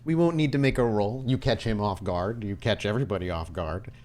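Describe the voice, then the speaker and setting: A man narrating a tabletop horror roleplay as game master, with dramatic, deliberate scene-setting. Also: on the low side, darkish tone.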